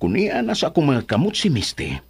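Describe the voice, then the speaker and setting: A Filipino actor playing a bossy, hot-tempered schemer in a radio drama, with a rough, bullying, theatrical delivery. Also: male voice, fairly high pitch, wildly swooping pitch, brisk, full character voice. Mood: scheming. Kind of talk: Philippine radio drama acting.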